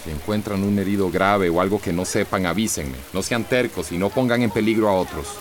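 An actor playing a stern, scolding village doctor with a Central American accent in a radio drama. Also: male voice, low voice, brisk, natural voice. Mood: stern, urgent. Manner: stern, authoritative.

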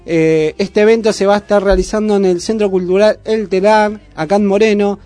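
A man's voice: sits high, steady tone, a little fast, warm tone, soft background music. A man with a Buenos Aires accent making casual announcements as co-host of a community radio show. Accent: Argentine, Buenos Aires.

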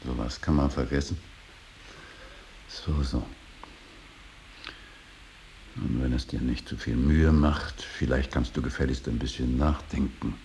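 An actor playing a stern, brooding old painter and father in a radio drama, solemn and intense, moving between hushed breathy words and forceful declaiming. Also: very deep voice, fairly even intonation, moderate pace. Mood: stern reproach. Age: older. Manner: solemn, intense, swings from hushed whisper to outburst. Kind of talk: 1980s German radio drama acting. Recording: hissy radio drama recording.

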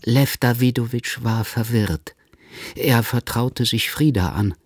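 A man's voice: mid-range voice, slow pace, faint music behind. A man reading scripted literary narration for a German radio drama. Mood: measured narration. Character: literary narrator of an exiled revolutionary's life.

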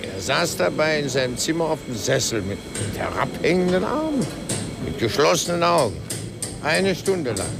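An older male actor playing a methodical retired police inspector in a 1950s German radio drama, gravelly and emphatic, with dry amusement. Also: fairly high pitch, big pitch swings, moderate pace, music in the background. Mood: probing, matter-of-fact.

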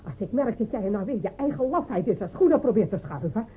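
An actress in a radio play playing a fierce, scornful village woman with a taunting, sharp-tongued delivery. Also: fairly high pitch, lively intonation, fast, husky. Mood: indignant, menacing. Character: fierce, scornful village woman defending her dead man. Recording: tinny, noisy old radio drama recording.

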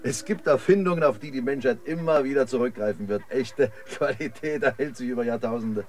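A man's voice: mid-range voice, fairly quick, soft background music, close to an everyday voice. An actor in a German radio drama playing a cynical, seasoned male guide who talks in a laconic, knowing way. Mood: sardonic, unruffled. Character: cynical, seasoned male guide, slingshot-proud street survivor. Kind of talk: German radio play acting.

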